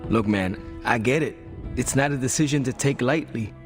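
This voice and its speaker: An actor voicing a streetwise, reassuring young American antihero in a video game, talking casually and frankly, like a loyal friend. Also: male voice, mid-range voice, normal speed, soft background music, lightly acted.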